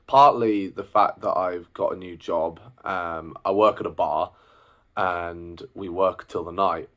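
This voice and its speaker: A young man in his twenties with a deep, low voice talks to camera in a casual, personal way. He rambles a little, pausing on fillers and restarting his sentences as he works through his thoughts. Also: fairly bright tone. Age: 20s. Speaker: singer and vlogger.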